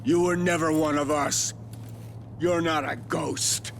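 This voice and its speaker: A man's voice: fairly high pitch, fairly even intonation, slow, vocal fry, a bit bright, slight background noise, exaggerated voice. An actor playing a defiant captive military father, strained and desperate, in a video game cutscene. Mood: defiant pleading. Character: defiant captive father, tough middle-aged military officer.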